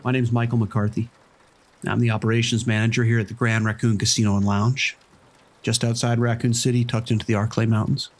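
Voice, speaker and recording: A man's voice: mid-range voice, level tone, brisk, close to an everyday voice. A man playing a matter-of-fact, weary casino operations manager in an understated audio drama monologue. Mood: matter-of-fact, uneasy.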